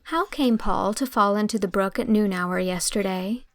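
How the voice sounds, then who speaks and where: A narrator plays a kindly young woman in a storybook, composed and mildly reproving. Her voice is medium-pitched with some fry, in a dry recording. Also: normal speed, no echo, close to an everyday voice. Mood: inquiring. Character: gently chiding, kindly young woman guardian. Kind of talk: audiobook character dialogue.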